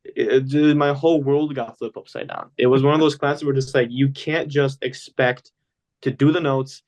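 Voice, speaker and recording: A man's voice: medium pitch, steady tone, moderate pace, darkish tone. Casting note: young male guest, Polish-speaking home, loose rambling chat, lots of fillers. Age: young adult. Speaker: podcast guest. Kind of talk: casual interview talk.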